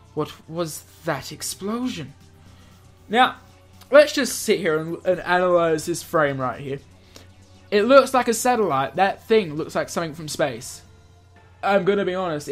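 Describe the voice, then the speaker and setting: A video game host reading a visual novel aloud, stumbling over words and dropping in jokey offhand comments. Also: medium pitch. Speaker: let's play video host.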